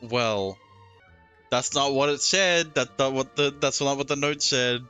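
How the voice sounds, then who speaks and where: A man's voice: medium pitch, a little slow, pushed and bright, smooth, soft background music. A man doing casual live gameplay commentary, informal, reactive and prone to swearing, close on the microphone. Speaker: game streamer.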